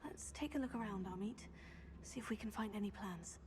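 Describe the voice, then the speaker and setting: A woman voicing a brave, protective young witch in a video game, steady and reassuring. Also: medium pitch, a little fast, noisy background, lightly acted. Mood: purposeful.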